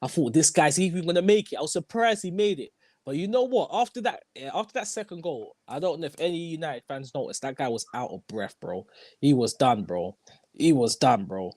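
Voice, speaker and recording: Young guy hosting football chat, high voice, dry close sound, keeps repeating himself. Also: brisk, dry recording. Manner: animated, repeats himself, slangy. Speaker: host.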